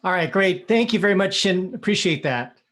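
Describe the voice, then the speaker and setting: A man moderating an online forum, introducing the next speaker in a brisk, upbeat way. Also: high voice, animated, a bit bright. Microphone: video call.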